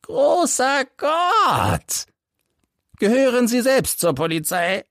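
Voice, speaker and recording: Casting: male narrator as a crippled old veteran, bitter and emphatic, slow storybook delivery with swinging pitch. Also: wildly swooping pitch, fairly bright tone, no room sound, clean studio sound, heavily acted. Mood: alarmed, defensive. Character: embittered hunchbacked old ex-soldier telling his life story.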